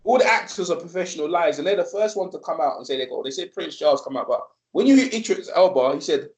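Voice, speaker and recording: A man hosting a casual live stream, talking in a rambling, repetitive and animated way. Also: on the high side, fast talker. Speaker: host.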